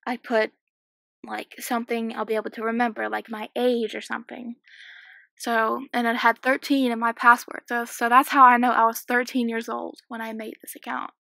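A sixteen-year-old girl with a slightly croaky voice, chatting and giggling casually while commentating a game she is playing at home. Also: a little high, level tone, normal speed, sharp tone, no echo. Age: 16, teenager. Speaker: gaming YouTuber. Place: small home room.

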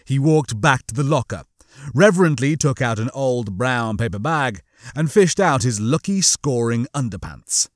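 A man reading comic fiction as a scripted audiobook, with a theatrical, expressive storytelling manner. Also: medium pitch, moderate pace, no room sound, studio quality. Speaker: audiobook narrator.

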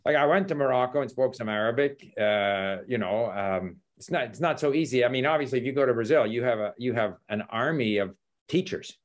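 A man's voice: medium pitch, brisk. Older man chatting on a video call. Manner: animated storytelling with gestures, chuckles. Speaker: language learning host. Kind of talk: casual video call chat. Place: home study with bookshelves. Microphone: laptop webcam video call.

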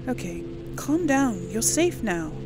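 A woman's voice: fairly high pitch, very animated, slow pace, over music. A woman hosting a casual role-play gaming video, commenting informally and thinking aloud as she plays. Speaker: gaming video host and player.

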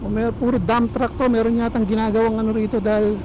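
A man's voice: sits high, flat delivery, fast. A man with a Filipino accent giving casual commentary in a raised voice as he rides a motorcycle, recorded on a helmet lavalier. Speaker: motovlogger. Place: outdoors on a motorcycle in town traffic. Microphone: lavalier microphone in a helmet. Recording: noisy street with traffic and engine.

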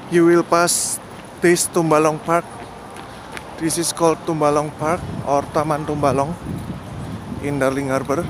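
A man narrating a walking tour outdoors, pointing out landmarks slowly and plainly, with pauses and fillers. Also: on the high side, flat delivery. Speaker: vlogger giving a walking tour. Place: outdoors on rainy city streets. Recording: outdoor city noise behind the voice.

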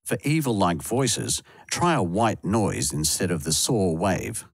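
A man reading scripted instructional narration for a software tutorial, close to the microphone. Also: low voice, sing-song, unhurried, breathy edge, no room sound.

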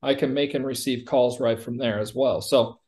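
A man giving a conversational product demo over a video call, explaining like a company executive presenting software. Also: medium pitch, steady tone, normal speed.

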